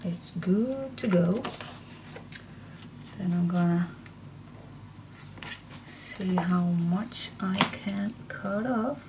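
Middle-aged Dutch-accented woman for a chatty craft demo. Very unhurried, with creaky voice, on a noisy room recording. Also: mid-range voice. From Netherlands. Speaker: craft tutorial host. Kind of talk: casual chatty hands-on demonstration. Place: indoor craft table.

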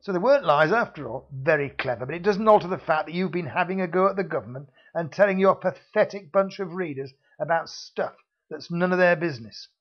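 A man's voice: high voice, expressive, normal speed, voice pushed up. A man voicing a menacing male secret-service heavy who bullies with mock politeness, on a dull lo-fi recording. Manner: mock-polite, sneering, threatening.

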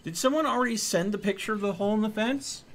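A man chatting casually as he streams a game, exclamatory and quick to swear. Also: high voice. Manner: profane, exclamatory. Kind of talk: casual gaming chat with friends. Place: indoor room, streaming setup.

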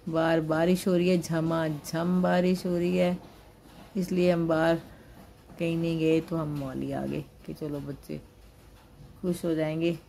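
Slow, low-voiced older woman vlogging. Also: little pitch movement, gravelly, mellow, slight background noise. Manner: slow, homely commentary on family outing. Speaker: vlogger, grandmother. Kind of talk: casual vlog narration. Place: busy indoor shopping mall. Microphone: handheld phone camera.

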